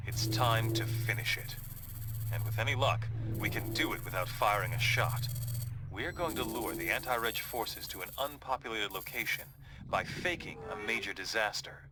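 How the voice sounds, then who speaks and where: Low-voiced superhero leader played by a man. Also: moderate pace, noisy background, close to an everyday voice. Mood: grave, determined. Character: confident armored superhero leader briefing his team. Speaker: mission briefer. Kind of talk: video game cutscene briefing.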